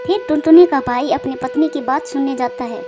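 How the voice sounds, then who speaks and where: A woman's voice: high-pitched, fairly quick. A woman reading scripted narration for a cartoon moral story. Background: clear background music.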